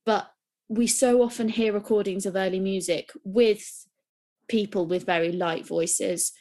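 A woman's voice: medium pitch, fairly even intonation, measured pace, mellow. A young woman, co-hosting a podcast, talking enthusiastically and rambling with restarts. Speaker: podcast co-host. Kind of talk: casual chat.

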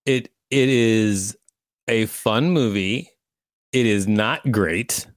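A man's voice: lowish voice, slow, no echo, studio quality. A man co-hosting a podcast, reading an article aloud and adding casual, opinionated banter. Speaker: podcast co-host.

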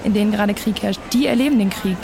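Woman playing creaky-voiced, cheeky young student activist. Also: mid-range voice, fast talker, a little mellow, natural voice. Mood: persuasive. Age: young adult. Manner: slangy, wry. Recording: faint outdoor noise.

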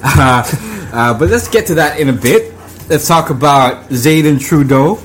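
A man's voice: a little high, a little slow. A man hosting a podcast, leading the questions in casual chat with a teasing, joking manner. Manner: teasing, jokey interviewer who leads the questions. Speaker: podcast host.

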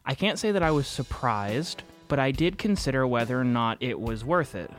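Audio drama lead: male actor as a sardonic, guarded young guy, mid-pitched, confessional, music underneath. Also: lively intonation, moderate pace, close to an everyday voice. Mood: reluctant, wry. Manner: dry, self-deprecating, sardonic. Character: wry, guarded young man confessing about a secret online game. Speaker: narrator and protagonist of an audio fiction podcast. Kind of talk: first-person confessional podcast narration, conversational. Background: instrumental music.

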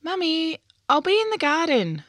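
A woman voicing a kind, curious little girl in a storybook, speaking gently. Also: on the low side, very animated, normal speed, no room sound, studio quality. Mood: cheerful.

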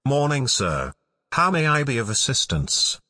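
A man voicing a stiff, formal door guard who speaks in a flat, unbending way. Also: medium pitch, unhurried, full character voice.

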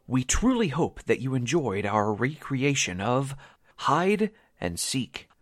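A male announcer with an animated, swinging intonation and a medium pitch. He reads scripted radio theater credits in formal, theatrical phrasing, recorded very dry and close. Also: dry recording.

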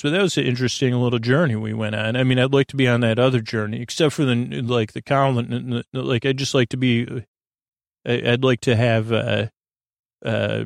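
A man hosting a bedtime sleep podcast with a creaky voice, meandering and halting in a goofy, self-deprecating way. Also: medium pitch, dry recording, studio quality. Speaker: podcast host.

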